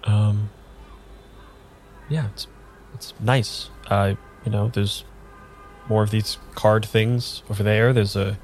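An actor playing a nervous, hesitant amnesiac man in an improvised radio drama, talking in a halting, understated way. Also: lowish voice, fairly even intonation, a little mellow, a little hiss or hum. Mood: uneasy, hesitant. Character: nervous, hesitant amnesiac man having his tarot read.

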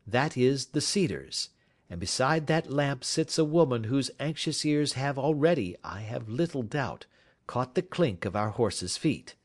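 A male audiobook reader voicing a calm, reserved gentleman detective who speaks in a measured, precise way. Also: mid-range voice, voice pushed up. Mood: explaining.

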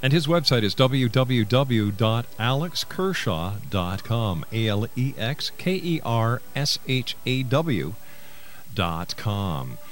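Mid-pitched male radio host. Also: sing-song, slow, soft background music. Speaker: radio talk show host. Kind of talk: live radio hosting and interviewing.

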